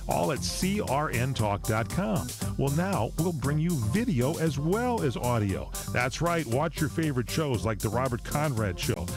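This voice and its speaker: An American man delivers a salesy radio promo over a clear music bed. His pitch moves in a lively way as he lists things emphatically. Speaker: radio network announcer. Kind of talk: scripted promotional announcement. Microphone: close studio microphone.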